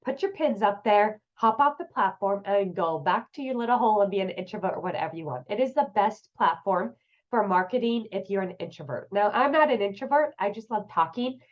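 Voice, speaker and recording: A woman's voice: mid-range voice, animated, a little fast, lo-fi sound. A woman host with a congested, nasal voice from a cold, giving chatty, upbeat business tips straight to her viewers. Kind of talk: casual online business tips.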